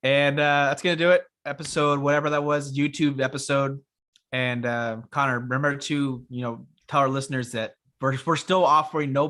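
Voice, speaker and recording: A young man co-hosting a casual fantasy football show, his voice raspy from being sick, talking in a relaxed, rambling way with many fillers. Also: mid-range voice. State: raspy after illness. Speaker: podcast co-host. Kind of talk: casual sports chat.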